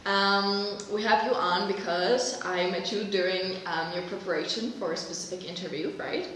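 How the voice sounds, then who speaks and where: A woman's voice: medium pitch, fairly even intonation, moderate pace, a bit bright. Young woman hosting in an echoey room. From Germany. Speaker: podcast co-host and interviewer. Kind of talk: casual podcast interview. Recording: echoey room with faint background noise.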